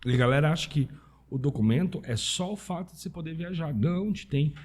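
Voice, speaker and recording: A Brazilian man with a soft-edged, mellow tone and a husky grain. He chats informally as an interview guest, explaining things through anecdotes and often seeking agreement as he goes. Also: on the high side, fairly quick. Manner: informal, anecdotal, peppered with tag questions. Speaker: podcast guest, Italian citizenship specialist. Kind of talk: casual conversational interview.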